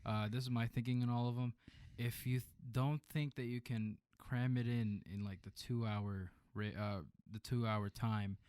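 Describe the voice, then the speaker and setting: Man in dry, halting casual chat. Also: mid-range voice, steady tone, a little mellow, smooth, dry recording. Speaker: film review podcast co-host. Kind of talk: casual movie review chat.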